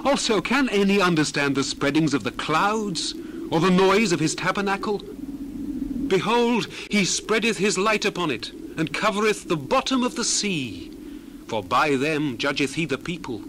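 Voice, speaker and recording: Solemn earnest biblical orator. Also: male voice, high-pitched, animated, moderate pace, background noise. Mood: fervent, admonishing. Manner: stately, emphatic, archaic scripture phrasing. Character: earnest biblical orator proclaiming God's greatness. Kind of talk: dramatized Bible reading, declamatory and solemn.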